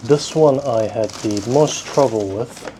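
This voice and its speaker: A man's voice: mid-range voice, measured pace. A man hosting a casual food-tasting vlog, rambling and thinking aloud in a self-deprecating way. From South Korea, at least partly. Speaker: vlog host. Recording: clearly noisy ambient background. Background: ambient noise.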